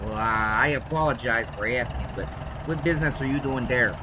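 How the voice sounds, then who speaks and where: An actor playing a talkative, folksy 1920s Chicago cab driver in an audio drama, with an American accent and a slangy, rambling way of telling a story. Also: male voice, mid-range voice, moderate pace, noisy background, natural voice. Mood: wary, apologetic. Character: talkative 1920s Chicago cabbie, folksy baseball-loving yarn spinner.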